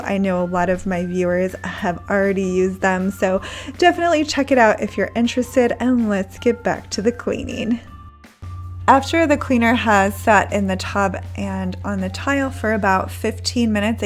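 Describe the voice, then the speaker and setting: A woman in her thirties narrating her cleaning routine in a friendly, chatty voice-over, recommending products. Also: medium pitch. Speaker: home cleaning vlogger.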